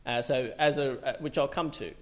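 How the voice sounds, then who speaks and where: A man's voice: mid-range voice, normal speed. A middle-aged man from Bangladesh, a psychiatrist, giving a loose, conversational public talk full of fillers and restarts.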